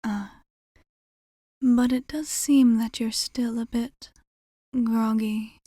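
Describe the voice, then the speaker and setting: A woman acts out an ancient female vampire bartender in an intimate ASMR roleplay. Her voice is soft, mellow and breathy, often slipping into a whisper, and it sits fairly high. She speaks very slowly and formally, composed and attentive, in a close, dry recording. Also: fairly even intonation, dry recording. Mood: gentle, attentive. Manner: measured, formal wording with thoughtful pauses.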